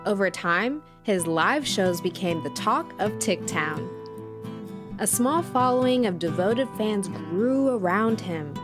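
A female host of a music documentary. She tells the story in a measured, unhurried voice of medium pitch, with music clearly behind her. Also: expressive. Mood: measured, reverent. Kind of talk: scripted documentary narration.

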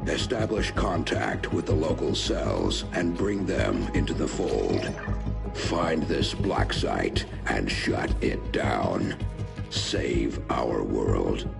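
A man playing a shadowy, grave councilman in a game cutscene, speaking solemnly and deliberately as a secret resistance ally. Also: very deep voice, creaky, heavily acted. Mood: urgent, solemn. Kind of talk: scripted game cutscene briefing.

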